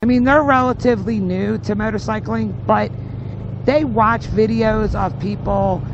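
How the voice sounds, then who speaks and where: A woman's voice: mid-range voice, fairly even intonation, unhurried. A middle-aged woman vlogger chatting casually in a rambling, conversational way over a helmet intercom while riding a motorcycle. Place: outdoors on a moving motorcycle. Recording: muffled helmet intercom, loud road noise.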